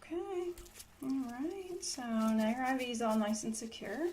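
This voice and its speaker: A woman's voice: fairly high pitch, expressive, measured pace, darkish tone, slight background noise. A woman doing an ASMR medical roleplay as a nurse, explaining each step in a casual, reassuring bedside way. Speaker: ASMR creator playing a trauma nurse.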